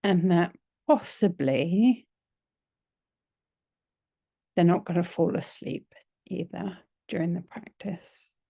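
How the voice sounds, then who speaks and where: A woman teaching yoga nidra, leading a guided relaxation in a gentle, soothing, unhurried manner with long pauses. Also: on the low side, vocal fry, husky, no room sound.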